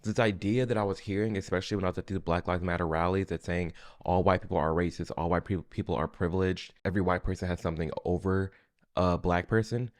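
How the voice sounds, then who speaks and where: A young American man with a low, deep voice talks casually to his audience as a host. He delivers reaction commentary in a conversational, off-the-cuff style. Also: steady tone, fast talker. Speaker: reaction video host, influencer.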